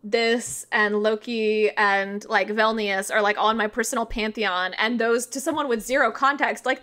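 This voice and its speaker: A woman's voice: mid-range voice, a bit bright. A woman of thirty talking as a podcast guest in a casual, informal interview style, explaining things with frequent filler "like".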